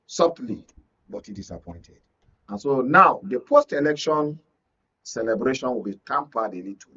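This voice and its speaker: A man's voice: mid-range voice, fairly bright tone, breathy edge. A Nigerian man giving political commentary as a studio guest, speaking emphatically with rhetorical repetition. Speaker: media analyst, studio guest. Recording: dull lo-fi audio, distant mic.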